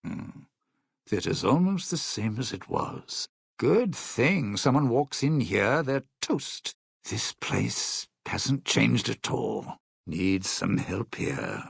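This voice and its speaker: An actor voicing a suave, bitter, century-old lounge singer in a video game, a sardonic and scheming showman with a raspy voice. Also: on the low side, very animated, takes it slowly, no room sound, studio quality, heavily acted. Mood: muttering, uneasy. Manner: theatrical, sardonic showman talking in stage metaphors.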